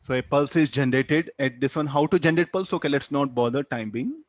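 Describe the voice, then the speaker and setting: An Indian-accented man gives a physics lecture in a medium-pitched voice. He works through problems didactically, putting questions to the class and answering them himself. The sound is dry, with very little room echo. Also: quick pace. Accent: Indian English. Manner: didactic, asks and answers own questions, tags statements with "no?". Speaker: physics teacher.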